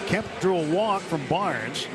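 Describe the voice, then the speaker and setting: A man calling baseball play-by-play on radio, steady between pitches and rising on big plays. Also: slow, vocal fry. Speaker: play-by-play announcer. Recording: noisy ballpark broadcast.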